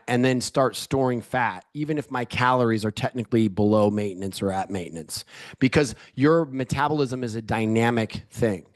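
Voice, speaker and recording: A man with a mid-pitched voice talks as an expert podcast guest. He explains step by step in an emphatic, instructive manner, pressing his points so listeners keep up. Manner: didactic, emphatic, checks listeners follow. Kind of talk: explanatory interview talk.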